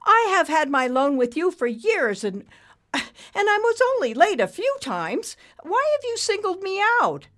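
A woman's voice: big pitch swings, measured pace, a bit bright, full character voice. A woman voicing a meek, anxious small businessman in an audiobook, pleading hesitantly. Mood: pleading, worried. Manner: pleading, hesitant, high-strung. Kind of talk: audiobook character voice.

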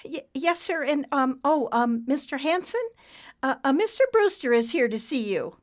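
A woman's voice: sits high, animated, moderate pace, voice pushed up. A woman voicing a timid, flustered female secretary in an audiobook, stammering nervously. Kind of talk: audiobook character voice.

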